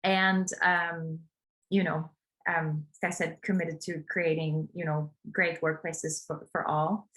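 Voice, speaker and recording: A woman's voice: low-pitched, flat delivery, slow pace, a bit bright, low-quality recording. A woman from Norway introducing herself on an online panel, hesitant and full of fillers. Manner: hesitant, many fillers and restarts. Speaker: panelist, HR executive.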